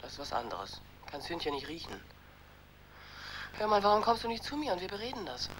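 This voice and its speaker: A blunt, low-voiced girlfriend. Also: big pitch swings, fairly quick, low-quality recording. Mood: offhand, defensive. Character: blunt, worldly best girlfriend, brash and unashamed. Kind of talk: German radio drama acting.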